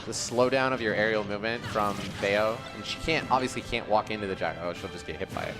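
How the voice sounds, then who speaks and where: A man's voice: on the low side, fast talker. Man casually commentating over game music. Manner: analytical, conversational, reacts to plays. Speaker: esports match commentator. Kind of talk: casual live gaming commentary.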